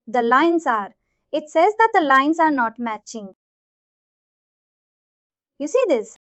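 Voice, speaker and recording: High-pitched Indian woman teaching, dull audio. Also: big pitch swings, takes it slowly, fairly bright tone, dry recording. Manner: repetitive, checks that listeners follow. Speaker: software instructor. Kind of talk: informal live tutorial explanation.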